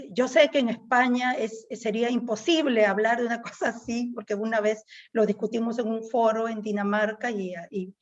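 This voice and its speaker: A middle-aged Peruvian woman talks thoughtfully in an interview, her voice sitting a little high for a woman. She pauses on fillers and tags her points with questions. The sound is slightly dull and lo-fi, like a call. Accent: Peruvian Spanish. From Peru. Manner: reflective, with frequent "¿no?" tags and "este" fillers. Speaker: guest poet. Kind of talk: conversational interview.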